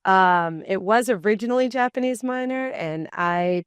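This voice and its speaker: A young woman in her twenties talks casually as a podcast guest. Her voice is smooth and clear, at a middle pitch for a woman, and she rambles, leaning on "like" as she goes. The sound is dry and close to the mic. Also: moderate pace, no room sound. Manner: rambling, many fillers, acts out voices in anecdotes. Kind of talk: casual conversational interview.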